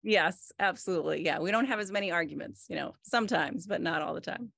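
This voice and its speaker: Woman, 40s, low and a bit gravelly, dry close video-call sound; relaxed, thoughtful interviewee. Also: quick pace, no room sound. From United States. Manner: relaxed, thoughtful, many fillers and restarts. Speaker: artist. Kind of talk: conversational interview. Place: home room with wood-panelled wall.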